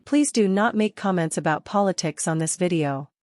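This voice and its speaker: A woman narrator reading out short notes and disclaimers in a flat, matter-of-fact way. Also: fairly low pitch, normal speed, no room sound.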